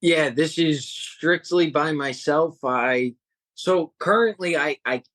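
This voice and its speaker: A young man, a guest on a podcast, talking earnestly and haltingly with many restarts. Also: fairly high pitch, fairly bright tone, no room sound. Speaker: guest, home cook and hiker. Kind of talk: casual interview chat.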